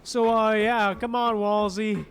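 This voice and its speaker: A man's voice: sits high, flat delivery, slow, a bit bright, a little hiss or hum. A man giving casual gameplay commentary as a streamer, muttering short, laid-back reactions. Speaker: streamer playing a game. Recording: game music behind the voice.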